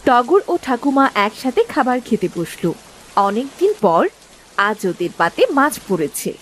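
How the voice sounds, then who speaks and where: A woman's voice: fairly high pitch, sing-song, moderate pace, a little hiss or hum, low-quality recording. A woman narrating a scripted cartoon story as its storyteller. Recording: music and background ambience.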